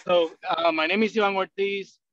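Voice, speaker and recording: A man's voice: sits high, fairly even intonation, normal speed, a bit bright, muffled recording, a little hiss or hum. A man calling in to a video meeting while driving, introducing himself in a friendly way. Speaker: financial analyst. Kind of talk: self-introduction on a video call.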